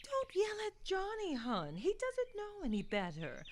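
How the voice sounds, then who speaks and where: Sweet Bigfoot mom, female actor, high, slow, sugary homemaker, comedic radio play. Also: huge pitch swings, a little hiss or hum, heavily acted. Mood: cheerful, placating. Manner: sugary, singsong homemaker delivery. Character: sweet, cheery, doting Bigfoot mother and housewife.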